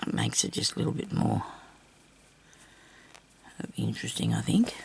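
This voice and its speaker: An older Australian woman with a low, husky voice roughened by a lingering cough, chatting casually and self-deprecatingly as she rambles through a craft video. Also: wildly swooping pitch, moderate pace. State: lingering cough after weeks of sickness. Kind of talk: casual chat while hand stitching.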